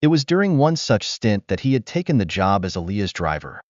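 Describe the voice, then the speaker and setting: A man narrating a scripted moral tale in a smooth, expressive storytelling manner. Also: lowish voice, a little fast, no echo. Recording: dull dry recording.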